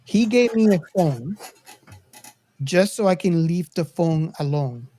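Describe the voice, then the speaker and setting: A man's voice: sits high, animated, takes it slowly, dark tone, slight background noise. A middle-aged man in casual podcast conversation, explaining things conversationally and voicing other people's reactions. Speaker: podcast co-host. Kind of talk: casual chat, reminiscing.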